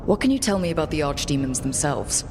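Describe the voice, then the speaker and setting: Fantasy game lead: resolute and wry, plain delivery, ringing hall sound over clear noise. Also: mid-range voice, sing-song, fast talker. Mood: determined. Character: determined, dryly wry young hero of a fantasy game. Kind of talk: scripted fantasy game dialogue.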